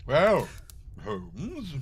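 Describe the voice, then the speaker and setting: A man playing an excitable, blustering gentleman sidekick in a live old-time radio mystery, often flustered. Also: high voice, drawn-out words, gravelly, a little hiss or hum, heavily acted. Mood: bumbling. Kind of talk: live 1940s radio mystery acting with comic ad-libs.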